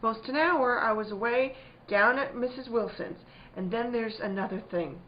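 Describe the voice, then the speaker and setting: An actress playing a careworn, protective rural wife, fretful and earnest in plain country dialect, in a radio play. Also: female voice, a little high, moderate pace, lightly acted. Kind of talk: theatrical radio-play acting. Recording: dull, noisy lo-fi recording.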